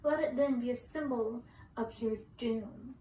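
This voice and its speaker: Woman playing a high, vengeful young enchantress. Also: measured pace, dark tone, echoey room, distant mic, a little hiss or hum, natural voice, poor audio. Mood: solemn vengeance. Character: beautiful vengeful young enchantress cursing a prince.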